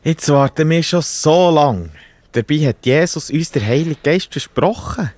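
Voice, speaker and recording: A man's voice: fairly high pitch, lively intonation, moderate pace, a little mellow, no echo. A man with a Swiss German accent playing an impatient, wondering disciple in a children's Bible audio drama.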